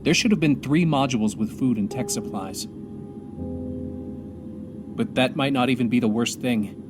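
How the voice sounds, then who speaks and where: A man performs a stranded astronaut commander in an audio drama monologue. He is weary and grieving, yet holds on to hope, reflective and halting in a medium-pitched male voice. Music plays clearly behind him. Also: close to an everyday voice. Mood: worried.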